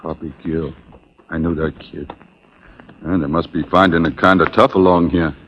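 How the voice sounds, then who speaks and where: An actor playing a slow-witted, folksy frontier sidekick with a hesitant manner, in 1940s radio style. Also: male voice, sits low, steady tone, natural voice. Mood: somber. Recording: muffled, hissy old radio broadcast.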